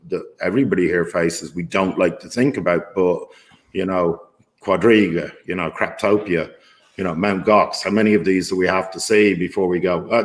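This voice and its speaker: A man with a lowish voice talks casually as a guest. He rambles through fillers and restarts, and he is blunt, with the odd swear word. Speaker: guest market maker. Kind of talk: casual video-call conversation. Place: at home in his city.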